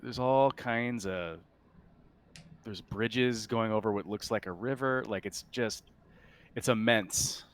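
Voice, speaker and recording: A man running a tabletop sci-fi roleplaying game as game master, improvising his narration in a halting, thinking-aloud way. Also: lowish voice.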